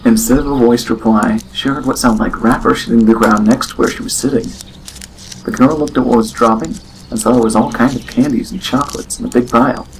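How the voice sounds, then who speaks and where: A man narrates a scripted story in a medium-pitched voice. It is an amateur recording with slight noise behind him. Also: fairly even intonation, brisk, low-quality recording.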